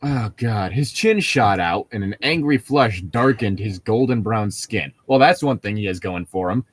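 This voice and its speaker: A man's voice: lowish voice, expressive, normal speed, breathy edge, dry recording. A young man chatting casually and reading aloud, with sarcastic, exasperated, swear-heavy commentary. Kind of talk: casual chat with mocking read-aloud commentary.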